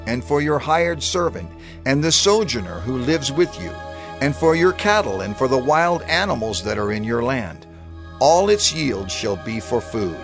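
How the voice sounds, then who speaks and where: A man reading scripture aloud in a measured, declamatory manner. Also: medium pitch, creaky. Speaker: scripture reader. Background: music.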